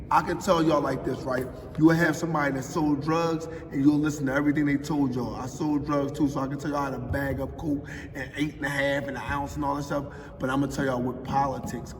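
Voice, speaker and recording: A man's voice: mid-range voice, a little hiss or hum. Man, forties, Philadelphia accent, emphatic and streetwise, distant mic, long hall reverb. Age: 40s. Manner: street-talking, emphatic, often adds "you know what I mean". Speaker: interviewee. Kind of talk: casual interview answers. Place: large echoey public building. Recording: roomy hall echo, distant mic, faint ambience.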